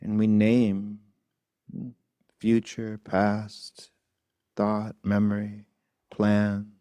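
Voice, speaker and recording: A man with a low, mellow voice guides a meditation in a soft, gentle manner. He speaks very slowly and keeps his pitch very even, leaving long pauses between short phrases. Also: clean tone, no room sound. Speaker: meditation teacher.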